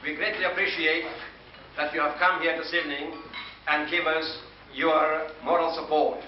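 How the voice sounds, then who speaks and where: A man whose native language is Ukrainian speaks formally as a master of ceremonies, introducing guests in a courteous, deliberate, ceremonious manner. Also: fairly high pitch, little pitch movement. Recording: muffled noisy 1980s hall recording, distant, echoey.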